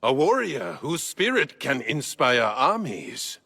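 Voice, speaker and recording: An actor playing a commanding, ambitious warlord, speaking in a grandiose, deliberate way in a cinematic period game. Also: male voice, a little high, very animated, vocal fry, pushed and bright, slightly rough, no echo, heavily acted. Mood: imperious. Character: commanding, ambitious Japanese warlord, proud and theatrical. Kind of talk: cinematic period drama acting.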